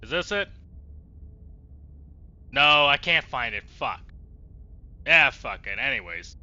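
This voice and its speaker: A man streaming a video game with casual, jokey, profanity-laced commentary and lively reactions. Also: mid-range voice, slow pace, no echo, highs cut off. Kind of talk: casual let's play commentary.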